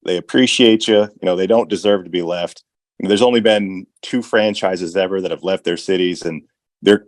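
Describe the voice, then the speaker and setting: A man's voice: low voice, no room sound. A man calling in to a public meeting as a local resident, speaking conversationally and often saying "you know". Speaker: resident caller at public comment. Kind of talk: casual spoken public comment. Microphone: remote call audio.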